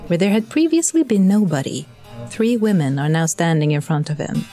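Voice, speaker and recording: A woman's voice: fairly low pitch, expressive, moderate pace, warm tone, faint music behind, natural voice. A woman playing a chatty, bureaucratic angel messenger who narrates with dry, polite condescension. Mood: storytelling. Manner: politely condescending, dryly wry. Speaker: narrator and in-story host. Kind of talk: scripted narration, addressing listeners.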